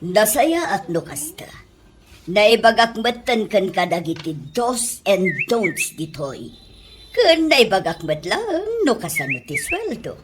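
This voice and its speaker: A woman's voice: medium pitch, huge pitch swings, takes it slowly, background noise. A woman with an Ilocano accent playing an older auntie who runs a restaurant, stern but kindly, in a comedy radio drama. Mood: businesslike. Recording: music bed under radio drama dialogue.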